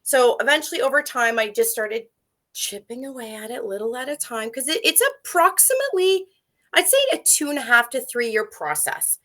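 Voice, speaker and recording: A French-native woman talks as an interview guest, lively and eager, with plenty of fillers. Her voice is bright and sits a little high. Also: normal speed. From Canada. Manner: animated, talkative, with fillers and laughs. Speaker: guest, first aid instructor. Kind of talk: casual interview talk.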